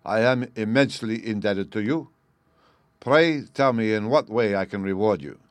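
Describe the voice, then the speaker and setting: A man playing a loud, excitable king. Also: fairly low pitch, normal speed, dry recording, natural voice. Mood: effusive. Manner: loud, exclaiming, emphatic. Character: proud excitable European king, middle-aged.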